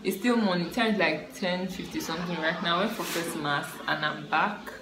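A young woman, a student vlogger giving a relaxed, rambling life update to her laptop camera in a small room. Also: on the low side, moderate pace, noisy background. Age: young adult. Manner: relaxed, rambling, repeats herself with fillers. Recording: echoey room with music behind.

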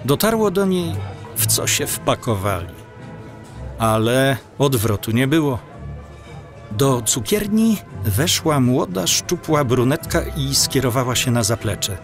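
Male audiobook narrator: unhurried, mid-pitched, scripted storytelling with faint music underneath. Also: big pitch swings.

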